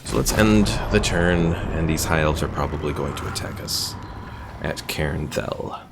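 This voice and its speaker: Deep voiced guy streaming, bit of fry, thinking out loud casually. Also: a little slow, background noise. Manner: thinks aloud. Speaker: gaming streamer. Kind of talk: casual live gameplay commentary.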